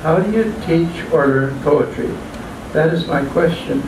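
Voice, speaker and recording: An older man reading his own poems at an open mic in a small restaurant, halting and plain, stumbling and restarting now and then. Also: fairly high pitch. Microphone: stand mic, filmed from a far camera. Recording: echoey room, distant mic, background hum.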